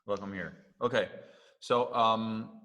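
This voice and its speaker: A man's voice: on the low side, steady tone, takes it slowly, a bit bright. A man leading an online music class, explaining things loosely with lots of fillers over a video call. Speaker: music teacher leading a group session. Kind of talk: casual online class talk.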